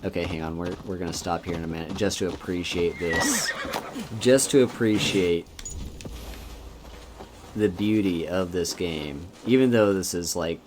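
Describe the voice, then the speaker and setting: A man streaming a video game, chatting casually in a relaxed, soft-spoken and musing way as he plays. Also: sits low, measured pace, noisy background. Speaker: VTuber streamer. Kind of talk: casual gameplay commentary. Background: game music.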